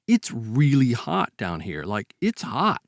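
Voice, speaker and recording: A man's voice: medium pitch, huge pitch swings, takes it slowly, creaky, dry recording, clean studio sound. An American man co-hosting a podcast, talking casually in a reflective, hedging way with wry humor. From South Texas, United States (lives there). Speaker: podcast co-host. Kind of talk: casual discussion.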